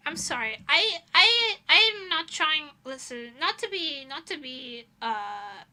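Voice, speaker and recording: A woman from Europe chatting casually on a livestream in an animated, dramatic manner. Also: sits high. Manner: animated, dramatic exaggeration, swearing. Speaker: livestreamer, VTuber.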